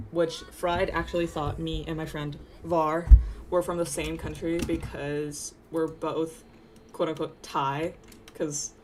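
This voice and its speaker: A woman's voice: low-pitched, a little hiss or hum. A young woman from Taiwan chatting casually on a livestream while she works, rambling through tangents and laughing at her own jokes. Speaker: VTuber streamer. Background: faint background music.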